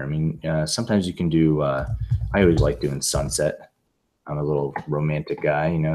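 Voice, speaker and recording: A man recovering from laryngitis, giving a casual, rambling tutorial over a video call with many fillers and restarts. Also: rumbling low. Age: adult with a young son. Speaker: presenter demonstrating software. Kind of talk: casual screen-share tutorial.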